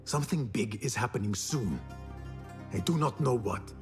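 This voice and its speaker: An actor playing a principled young assassin in a video game, serious and measured. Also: male voice, medium pitch, animated, soft background music, close to an everyday voice. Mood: grave. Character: earnest, principled young male assassin of a secret order. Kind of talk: video game cutscene acting.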